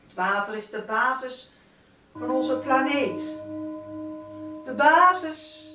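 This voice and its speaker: A woman's voice: sits high, lively intonation, slow pace, music in the background. A woman giving a spiritual guided meditation in a solemn, incantatory manner, drawing out and repeating her phrases. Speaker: spiritual teacher leading a light-circle meditation. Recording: roomy, distant microphone, noisy background.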